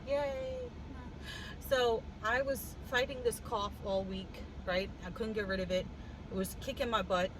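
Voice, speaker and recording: A woman with a cough telling a story in a casual vlog, animated and wry, laughing as she goes, sitting in a car. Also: mid-range voice, a little slow, background noise. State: congested with a cough. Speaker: vlogger. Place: in a car with the air conditioning on.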